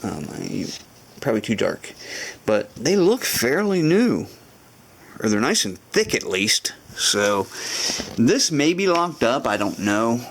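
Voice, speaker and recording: A man's voice: mid-range voice, sing-song, takes it slowly, gravelly, a little hiss or hum. Rambling man talking through a repair. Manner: rambling and thinking aloud, many fillers and restarts. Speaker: motorcycle restoration vlogger. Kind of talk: casual garage walkthrough to camera. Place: home garage.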